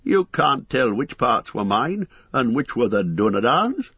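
Old hobbit poet, chatty and wry, brisk banter, read by a man; husky, dull dry audio. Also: mid-range voice, sing-song, no echo, exaggerated voice.

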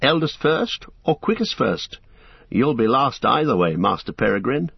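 A man voicing Frodo, a gentle young male hobbit who carries a hidden worry. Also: medium pitch, very animated, normal speed, gravelly, husky, dry recording, pitched above the performer's own voice, heavily acted. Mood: light teasing. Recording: slightly muffled dry recording.

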